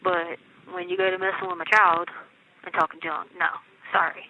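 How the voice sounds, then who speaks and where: A woman's voice: on the low side, sing-song, normal speed, gravelly, a little hiss or hum. American woman on a tinny phone line. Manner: colloquial, insistent, repeatedly checks she is understood. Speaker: inmate on a jail phone call. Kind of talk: casual personal phone conversation. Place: recorded jail phone call. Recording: tinny, narrow telephone line.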